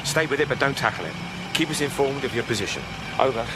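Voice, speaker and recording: An actor playing a businesslike London police constable, clipped and dutiful, in an audio horror drama. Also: male voice, mid-range voice, animated, fast, natural voice. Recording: loud music and traffic behind.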